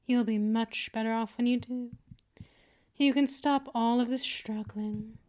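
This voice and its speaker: A woman acts out a seductive vampire mistress in an intimate ASMR audio roleplay. Her voice sits on the high side and she draws her words out very slowly. She is patient and possessive, talking down to the listener in a teasing, coaxing way. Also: steady tone, a little mellow, close to an everyday voice. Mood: coaxing, hypnotic. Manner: slow, coaxing, condescending, drifting into whispers.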